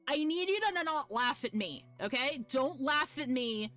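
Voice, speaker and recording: A woman's voice: sits high, animated, moderate pace, fairly bright tone. A woman streaming a video game live, commenting casually in an informal, sweary, self-mocking way. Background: game music.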